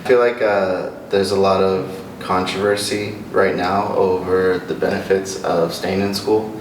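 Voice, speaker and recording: A man asks questions hesitantly, with lots of fillers, in a deep, flat voice. He sounds far from the mic in a roomy space, with clear noise behind him. It is a casual interview. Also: measured pace. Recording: distant, roomy, noisy.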